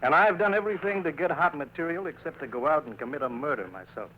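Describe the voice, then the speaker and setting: An actor playing a brash, wisecracking, scheming reporter with an American accent and slangy talk in a 1940s radio drama. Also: male voice, on the high side, lively intonation, fast, lightly acted. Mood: cocky, indignant. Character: cocky, wisecracking, scheming male newspaper reporter. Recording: muffled vintage radio recording, hiss.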